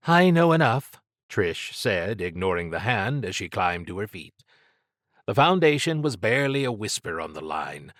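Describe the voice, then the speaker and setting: Male audiobook narrator, low register, dry studio-close sound, delivering scripted fiction. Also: fairly bright tone, dry recording.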